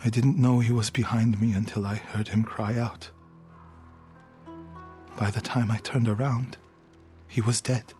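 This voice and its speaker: An actor playing a solemn, earnest warrior monk of feudal Japan, grieving, in a dramatic video game cutscene. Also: male voice, medium pitch, flat delivery, a little slow, pauses often, darkish tone, close to an everyday voice. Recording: background music under the dialogue.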